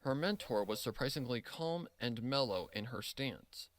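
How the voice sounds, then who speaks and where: A man's voice: mid-range voice, expressive, moderate pace. A man reading scripted fan fiction as the narrator.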